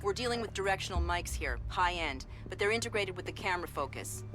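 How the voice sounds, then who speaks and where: Actress as a brisk female intelligence operative. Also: on the low side, expressive, music in the background, lightly acted. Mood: businesslike, instructive. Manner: businesslike, clipped, instructive. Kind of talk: video game cutscene acting.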